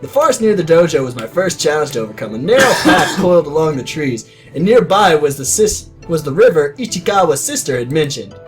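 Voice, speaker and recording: A man's voice: a little high, very animated, brisk, hardly any pauses, music in the background. A man in his twenties narrating a story in a readalong, breaking in with crude, sarcastic, self-mocking asides. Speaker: reader of a comedic readalong.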